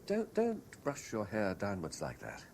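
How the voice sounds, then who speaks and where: Obsessed, brooding man, swooping low voice. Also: wildly swooping pitch, measured pace, darkish tone, exaggerated voice. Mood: fussy, controlling. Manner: insistent, brooding, intense. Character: obsessed, domineering man haunted by a lost love. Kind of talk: 1970s radio drama acting. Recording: noisy vintage radio broadcast recording.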